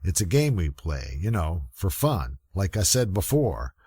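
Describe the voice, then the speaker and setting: A man's voice: sits low, big pitch swings, unhurried, warm tone, husky, no room sound, studio quality, heavily acted. A man voicing an evasive, folksy male care-home resident, hedging as a suspect in a radio mystery. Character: evasive bearded male nursing-home resident running a betting pool. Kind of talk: old time radio mystery acting.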